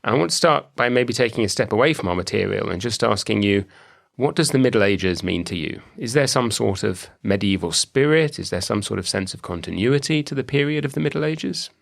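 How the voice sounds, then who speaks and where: A man with a British accent hosting an academic podcast, reading a measured scripted introduction and asking questions. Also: on the low side, animated, gravelly. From British Isles. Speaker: podcast host. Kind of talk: scripted intro then interview.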